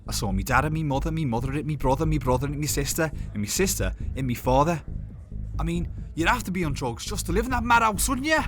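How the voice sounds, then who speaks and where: A man's voice: a little high, lively intonation, quick pace, over music, pitched above the performer's own voice. A man playing a chatty, oily, manic drug dealer in a film character monologue. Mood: oily, persuasive.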